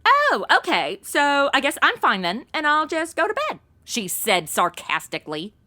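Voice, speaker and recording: A woman's voice: high voice, wildly swooping pitch, normal speed, bright, forceful tone, full character voice. A woman playing a flippant, ditzy modern young woman in a comedy audio drama, sarcastic and full of exclamations and slang. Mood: anxious, sarcastic.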